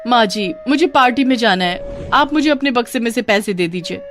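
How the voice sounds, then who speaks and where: A woman's voice: fairly high pitch, expressive, a little fast, voice pushed up, natural voice. Spoiled young wife, played by a female narrator: pushy, haughty storybook type with swooping intonation over music. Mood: demanding. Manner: pushy, bossy.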